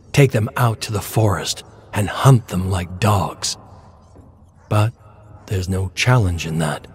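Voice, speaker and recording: Man narrating a dramatic story. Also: on the low side.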